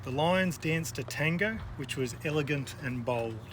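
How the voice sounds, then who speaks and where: Higher-voiced man reading a storybook outdoors. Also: animated, measured pace, fairly bright tone. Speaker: zookeeper reading a children's book. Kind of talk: storybook read-aloud. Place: outdoors at a wildlife park. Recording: outdoor recording with background noise.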